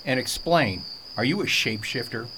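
Radio drama interrogator, played by a man: brusque government agent, varied intonation, mid pitch, noisy recording. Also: expressive, normal speed, lightly acted. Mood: interrogating. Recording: noisy, with cricket-like background.

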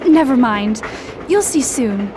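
A woman's voice: fairly high pitch, lively intonation, slow, background noise. A woman playing an eager, willful young fiancée in a radio drama, earnest and girlish in manner. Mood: earnest, determined.

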